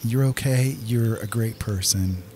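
Low-voiced man doing casual game commentary. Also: unhurried, dark tone, slight background noise. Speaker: gaming video host.

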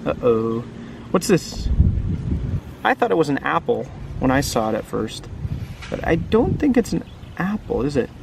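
A man with a low-key crackle in his voice reads a picture book to young viewers in a casual, chatty way. He keeps turning to the listener with questions. Outdoor noise and passing traffic sit clearly behind him. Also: very animated, measured pace, long pauses. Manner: informal, asks the listener frequent questions and tag questions. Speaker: children's book reader and teacher.

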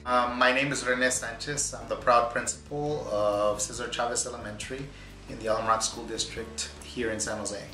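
A man's voice: mid-range voice, steady tone, normal speed. A man, speaking as a school principal in an interview. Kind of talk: interview testimonial. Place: small office, indoors. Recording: echoey office, music behind.

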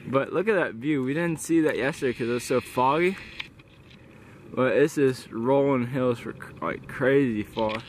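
A young man talks casually to a handheld camera in a medium-pitched voice. He is slangy and swears freely, like someone vlogging on a hike. There is some noise on the outdoor recording. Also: moderate pace. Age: young adult. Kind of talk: casual handheld camping vlog. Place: outdoors on a windy mountain, partly inside a tent. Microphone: handheld camera at arm's length. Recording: noisy wind-blown outdoor camera audio.

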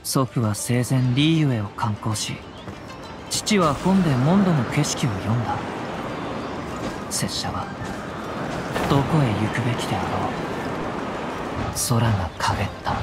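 A man voicing a gentle, reflective young wandering samurai in a video game, speaking calmly and poetically in archaic phrasing. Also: medium pitch, a little slow, long pauses, lightly acted. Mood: calm, wistful. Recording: music behind the voice.

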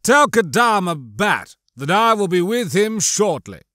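A man's voice: high voice, very animated, measured pace, sharp tone, roomy sound, big-room sound, voice pushed up, full character voice. A man voicing a powerful, self-assured male crime lord in an audiobook, curt, composed and imperious.

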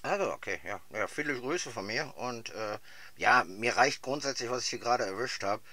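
Bright, forceful man chatting on radio. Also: medium pitch, animated, normal speed, a little hiss or hum. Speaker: radio amateur. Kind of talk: casual two-way radio chat.

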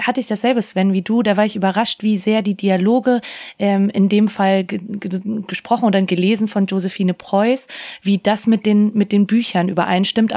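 A woman's voice: mid-range voice, vocal fry, a little mellow. A woman talking casually as a podcast host.

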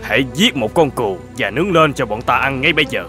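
An actor playing a threatening, greedy bandit in an animated folk tale, giving orders in a commanding manner. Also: male voice, medium pitch, fast, faint music behind. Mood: menacing. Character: menacing, domineering male bandit, greedy and hungry.

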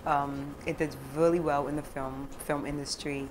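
A woman's voice: low voice, normal speed, background noise. A young woman from Ghana, a filmmaker guest, talking easily in a studio interview and laughing often between thoughts. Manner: laughs often, animated with hand gestures. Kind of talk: relaxed interview talk. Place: studio set, seated on sofa.

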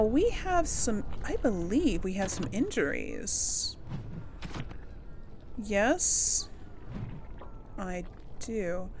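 Slow-paced woman with a creaky voice. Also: medium pitch, fairly bright tone, slight background noise. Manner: thinking aloud, musing to herself. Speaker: game streamer, player of a role-playing game. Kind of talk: casual gameplay commentary.

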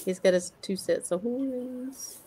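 A middle-aged woman on a headset video call from home, calmly describing a ring's details. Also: a little high, slow, a crowd in the background. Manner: relaxed, descriptive, talks through item details. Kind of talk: casual live-stream product talk. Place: home room.

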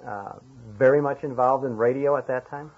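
An American man working as an interviewer, asking questions conversationally and haltingly as he searches for words. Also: mid-range voice, fairly even intonation, breathy edge. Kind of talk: conversational radio history interview. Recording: dull, lo-fi interview tape.

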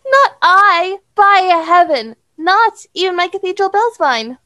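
A woman's voice: very high voice, expressive, slow, pushed and bright, no echo, clean studio sound, heavily acted. A woman playing a giddy, whimsical, flower-loving young noblewoman with playful archaic phrasing in an audio drama. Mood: eager.

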